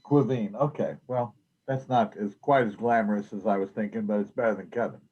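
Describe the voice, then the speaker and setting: A man's voice: on the low side, fairly quick, breathy edge. Joking man on dull lo-fi audio. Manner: joking, playful. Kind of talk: casual chat on a video call. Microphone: video call. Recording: dull lo-fi video call audio.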